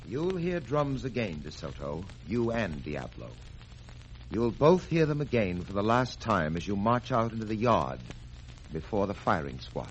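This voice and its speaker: A stern detective, voiced by a man, gives firm, commanding orders in a low voice that rises and falls a great deal. He sounds in control. The muffled old radio recording carries a clear hiss. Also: big pitch swings, unhurried. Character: stern male detective arresting a criminal. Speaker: detective and program narrator. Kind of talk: 1930s radio acting.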